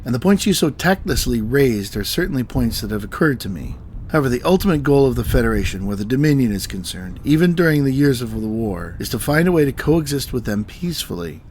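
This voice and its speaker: An actor playing a stern, weary starship captain in a science fiction audio drama, speaking in a measured, articulate way. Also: male voice, medium pitch, big pitch swings, darkish tone, natural voice. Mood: stern, reproving. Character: stern, weary male starship captain, dryly self-doubting. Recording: faint background ambience noise.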